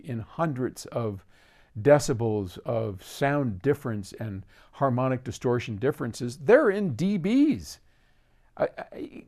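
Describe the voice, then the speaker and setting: A man's voice: mid-range voice, dark tone. An older man hosts casually, answering a viewer's question in an emphatic, opinionated way. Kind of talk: casual explanatory talk to camera.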